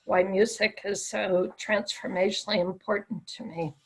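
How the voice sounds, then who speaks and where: Woman, client, crackly gravelly voice, husky, repeats phrases back. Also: medium pitch, fairly even intonation, normal speed. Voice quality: crackly, raspy voice.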